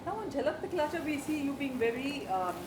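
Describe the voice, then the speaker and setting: A woman's voice: high-pitched, big pitch swings, fairly quick. A woman interviewing a guest, chatty and probing about rumors. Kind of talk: celebrity interview. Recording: roomy, with crowd and noise.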